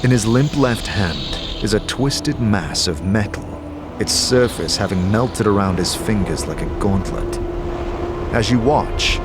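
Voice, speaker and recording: A man narrating an audio drama in the second person, measured and solemn, like a dramatic fantasy tale. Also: sits low, heavy background noise.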